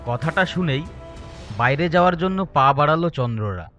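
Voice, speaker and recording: A man's voice: fairly high pitch, animated, moderate pace, over music. A man narrating a scripted story as a storyteller.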